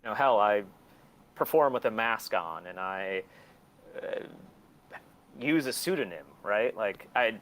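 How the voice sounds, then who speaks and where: A man's voice: lowish voice, lively intonation, unhurried, long pauses, fairly bright tone. A man in his forties talking candidly and casually as an interview guest, blunt and opinionated, swearing often. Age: 40s. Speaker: interview guest, record label and museum owner.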